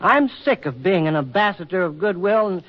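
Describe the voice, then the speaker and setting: An actor playing a stiff, earnest government official in love, speaking formally and haltingly in a 1940s radio drama. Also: male voice, high-pitched, lively intonation. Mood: formal, resolute. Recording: dull 1940s radio recording.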